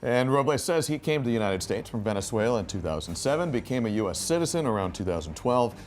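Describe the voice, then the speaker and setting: A man reporting for TV news, asking measured, clear interview questions. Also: medium pitch, sing-song.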